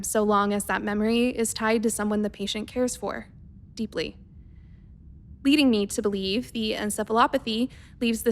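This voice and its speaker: A woman's voice: medium pitch, lightly acted. A woman playing a methodical, worried spaceship doctor dictating a research log in a radio play, clinical and precise but hesitant.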